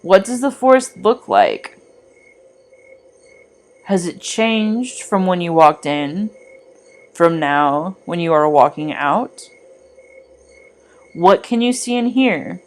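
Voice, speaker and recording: A woman leads a guided meditation at a very unhurried pace. Her voice sits somewhat low and moves widely in pitch as she gives gentle, deliberate instructions in a soothing manner. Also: lively intonation. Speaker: meditation guide, art teacher. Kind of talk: guided meditation narration, scripted.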